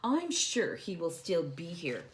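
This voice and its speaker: A caring mother from a children's storybook, played by a woman. She is gentle yet firm, guiding her child in an unhurried way with a lively range of pitch. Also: exaggerated voice. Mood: reassuring. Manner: caring, instructive.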